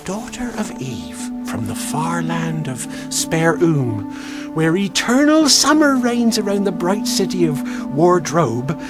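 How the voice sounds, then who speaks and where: A woman's voice: on the low side, sing-song, slow, loud music behind the voice. A woman playing a courteous, nervous, wistful faun in a children's radio drama, with a genteel, flowery, theatrical delivery. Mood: eager, hospitable. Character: courteous, nervous, wistful faun host of a snowy fantasy land.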